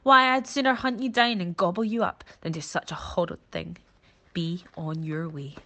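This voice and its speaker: A woman's voice: lowish voice, fairly even intonation, moderate pace, bright, forceful tone. A woman voicing a proud, predatory lion who talks down to a monkey. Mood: condescending.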